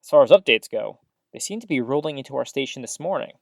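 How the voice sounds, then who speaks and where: A woman's voice: a bass voice, expressive, quick pace, gravelly, darkish tone, no echo, heavily acted. A woman playing a chatty, upbeat small-town radio host in an audio drama, with folksy exclamations and theatrical news patter.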